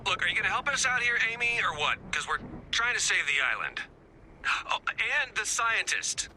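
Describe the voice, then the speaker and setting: A wisecracking field agent with a swooping delivery. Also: male voice, high-pitched, very animated, normal speed, bright, forceful tone, exaggerated voice, low-quality recording. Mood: wry. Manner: casual, wisecracking. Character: wry male field agent leading the mission. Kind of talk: video game voice acting. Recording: game audio with background noise.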